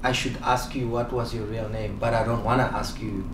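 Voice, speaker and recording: A man with a South African accent hosting an interview, firing quick follow-up questions in casual chat. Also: medium pitch, flat delivery. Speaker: host. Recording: echoey, distant mic, noisy.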